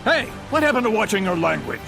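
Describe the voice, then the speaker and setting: Animated, blunt male soldier. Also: high voice, fast, vocal fry, music in the background, exaggerated voice. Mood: wry, amazed. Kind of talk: English game voice acting.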